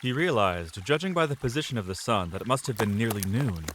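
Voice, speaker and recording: A man's voice: fairly low pitch, animated, a little fast, background noise. A man narrating an audio drama in a dramatic, scripted storytelling style.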